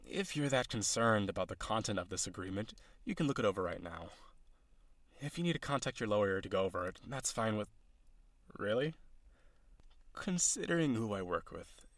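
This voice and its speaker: A man's voice: on the low side, sing-song, normal speed, dry recording. A man playing an awkward, businesslike villain on a blind date in an audio roleplay, talking to the listener in a hesitant, dry, earnestly formal way.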